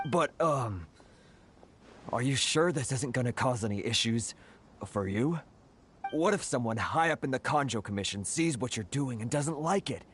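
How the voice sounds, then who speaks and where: An actor playing an anxious, deferential older merchant in a video game, with a worried and hesitant manner. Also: male voice, mid-range voice, slight background noise, lightly acted.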